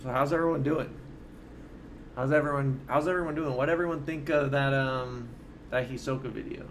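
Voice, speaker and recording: A man hosting a casual livestream, talking informally and haltingly as he thinks aloud and answers chat. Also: medium pitch, steady tone, a little hiss or hum.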